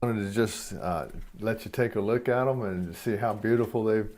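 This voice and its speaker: A man's voice: fairly low pitch, moderate pace, a little mellow. A man narrating a homemade hobby video in a plain, folksy way. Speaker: hobby quail keeper presenting a video.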